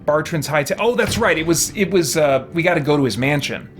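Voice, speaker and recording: A man with a slightly high voice hosts a game playthrough in a casual, rambling way. He thinks out loud reflectively. Game music plays clearly behind him. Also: expressive, a little fast. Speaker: host of a gameplay channel. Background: video game music.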